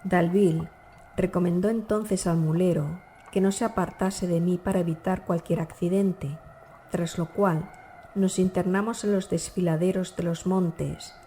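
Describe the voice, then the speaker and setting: A woman narrating a dark classic novel as an audiobook, in a measured, formal storytelling manner. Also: lowish voice, steady tone, slight background noise.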